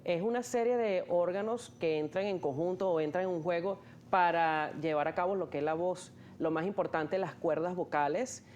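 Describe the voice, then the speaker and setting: A man's voice: sits high, moderate pace, darkish tone, lo-fi sound, slight background noise. A man, an ear, nose and throat doctor, patiently explaining terms in an interview, often restarting his sentences with fillers. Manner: hesitant, many restarts and fillers.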